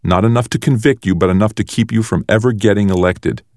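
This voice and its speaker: A man voicing a bright, defiant young sister in an audiobook, sharp-tongued and accusing as she stands up to a bully. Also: on the low side, fast, no echo, clean studio sound, voice pushed up, lightly acted. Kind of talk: audiobook character voice.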